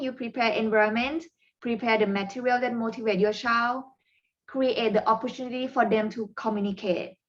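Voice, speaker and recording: A woman with a Thai accent, whose first language is Thai, presents parent coaching strategies in a webinar, pausing often with fillers and non-native phrasing. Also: fairly high pitch, fairly bright tone, highs cut off.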